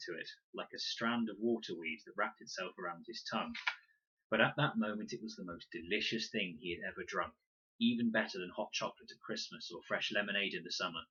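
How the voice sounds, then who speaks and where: A man reading a children's adventure story aloud in an expressive, dramatic storytelling manner. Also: lowish voice, breathy edge, dull audio. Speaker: audiobook reader and teacher reading aloud. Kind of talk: animated scripted narration of a children's adventure story.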